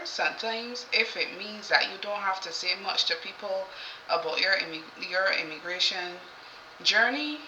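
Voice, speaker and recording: Emphatic Barbadian woman, bright voice. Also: medium pitch, a little hiss or hum. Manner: emphatic, giving firm advice. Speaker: co-host. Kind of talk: casual chat. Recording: roomy, slightly dull sound.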